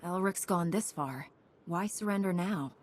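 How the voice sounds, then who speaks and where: An actress playing a confident, direct young Jedi knight in a video game. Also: female voice, on the low side, measured pace, big-room sound. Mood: resolute. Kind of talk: video game cutscene dialogue.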